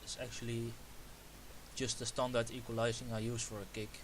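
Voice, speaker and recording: A young man with a Dutch accent, Dutch his first language, explaining music production step by step in a halting, self-correcting way. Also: medium pitch, little pitch movement. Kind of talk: screen-recorded production tutorial. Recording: hissy home recording.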